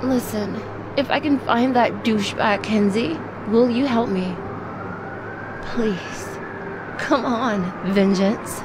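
A woman's voice: on the high side, takes it slowly, noisy background. A woman playing a tough, streetwise young woman who is wounded and out for revenge, in an audio drama. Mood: urgent. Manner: defiant, streetwise. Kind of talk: film-style audio drama acting.

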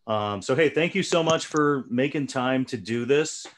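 A man interviewing guests over a video call, casual and relaxed, with many fillers. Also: medium pitch, unhurried. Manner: relaxed, filler-heavy moderating.

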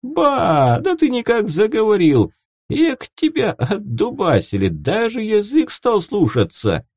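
A man voicing a wry, gruff bearded peasant in an audiobook, muttering in folksy rustic speech. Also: high-pitched, wildly swooping pitch, slow pace, darkish tone, no echo, studio quality, voice pushed up, heavily acted. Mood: amazed.

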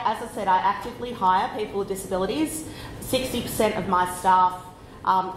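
A woman with an Australian accent giving a conference talk, loud and chatty, slowing down on purpose. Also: medium pitch, reverberant, slight background noise, poor audio. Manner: loud, chatty, deliberately slowed. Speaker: conference speaker. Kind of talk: conference presentation. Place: conference room.

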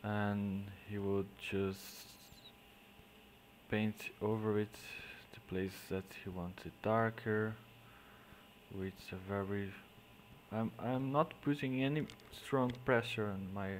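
A man with a Portuguese accent, a native Portuguese speaker, explaining digital painting step by step in halting English, often trailing off into quiet mutters as he works. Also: on the low side, steady tone, a little hiss or hum, poor audio. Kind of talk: screen-recorded painting tutorial.

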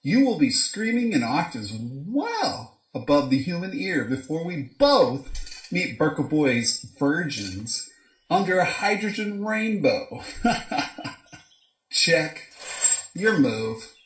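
An actor playing a gloating, foul-mouthed scientist in an audio drama, theatrical, mocking and wordy. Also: male voice, fairly high pitch, big pitch swings, takes it slowly, echoey room, poor audio. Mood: menacing glee. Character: gloating, foul-mouthed mad scientist doctor, gadget-proud blackmailer. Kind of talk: modern audio drama acting.

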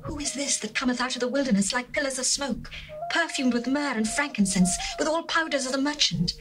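A woman's voice: high-pitched, a little fast, lightly acted. A woman voicing a lovesick young bride, tender and lyrical. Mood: longing. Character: lovesick young bride longing for her beloved. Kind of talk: dramatized scripture reading. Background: faint music.

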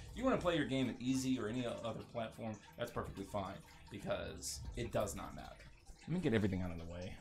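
A man hosting a gaming video, giving casual, joking let's-play commentary with rambling, sarcastic asides. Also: on the low side.

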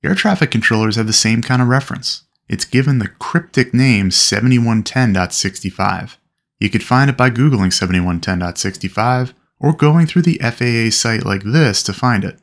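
Male explainer narrator, mid-pitched. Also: expressive, normal speed. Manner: instructional, addresses fellow pilots. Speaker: instructor, narrator.

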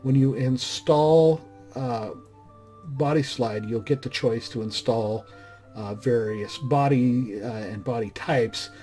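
A hoarse man hosting his own mod channel, talking through a screen-recorded software tutorial in a loose, conversational way full of "uh" and restarts. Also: mid-range voice, takes it slowly, faint music behind. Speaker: mod review channel host.

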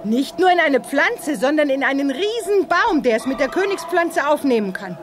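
An actress playing a confident young heroine in a sci-fi radio drama, speaking in a crisp, no-nonsense way. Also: female voice, sits high, sing-song, moderate pace, background noise. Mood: brisk, determined. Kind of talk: 1980s German radio drama acting.

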